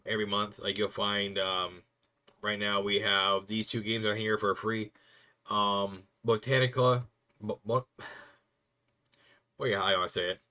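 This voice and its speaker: A young man rambling casually to viewers on a stream, chatty and quick to laugh. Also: lowish voice, sharp tone.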